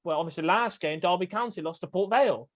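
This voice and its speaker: Chatty young man, slightly high voice. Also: fast. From England. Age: young adult. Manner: rambling, chatty with laughs. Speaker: host of a fan podcast. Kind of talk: casual chat on a video call.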